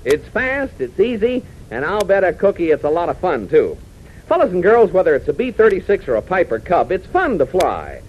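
An American man announcing a 1940s radio adventure serial for young listeners, excitable and dramatic. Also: high voice, moderate pace. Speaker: announcer and narrator. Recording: muffled, noisy 1940s radio recording.

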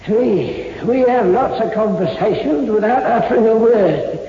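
An actor playing a lonely, eccentric working-class London morgue attendant and war veteran in a radio drama, chatty and colloquial. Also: male voice, sits high, lively intonation, measured pace, slight background noise. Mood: eerie, familiar. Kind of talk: 1960s radio drama acting. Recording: echoey, dull old radio recording.

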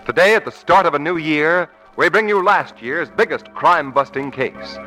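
A man's voice: fairly high pitch, moderate pace, loud music behind the voice, lightly acted, low-quality recording. A man narrating a crime drama in the formal, dramatic style of a 1940s radio announcer.

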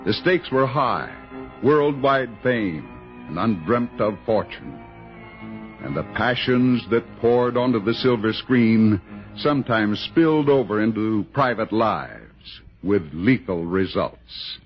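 A man's voice: on the low side, lively intonation, takes it slowly, faint music behind. An American man reading scripted announcements and narration in the style of 1960s radio. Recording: lo-fi vintage radio broadcast.

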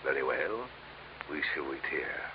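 An actor playing a pompous, portly crime boss with florid, mock-courteous speech in an old-time radio drama. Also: male voice, very deep voice, animated, unhurried. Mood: smug, commanding. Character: pompous, wordy, portly male crime boss with a pistol-toting henchman. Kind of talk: 1940s radio acting. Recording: muffled old radio recording, faint noise.

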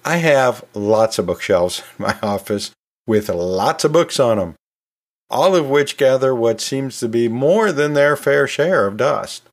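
A man's voice: medium pitch, lively intonation, a little slow. A man hosting a podcast in a conversational, storytelling way, chatting informally with asides like a teacher talking to listeners. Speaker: podcast host; anatomy and physiology teacher.

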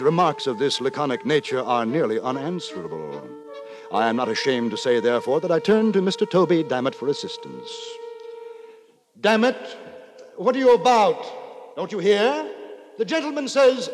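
An actor playing a prim, moralising gentleman who narrates in wordy, formal, mock-solemn 1950s radio drama style. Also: fairly high pitch, sing-song, moderate pace. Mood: puzzled, emphatic. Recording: 1950s radio, music behind.

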